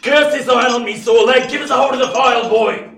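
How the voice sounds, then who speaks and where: An actor playing a gruff, hungry, suspicious escaped convict in a radio play, with rough rustic speech. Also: male voice, extremely high pitch, steady tone, quick pace, fairly bright tone, roomy sound, far from the mic, a little hiss or hum, exaggerated voice. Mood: urgent, fierce.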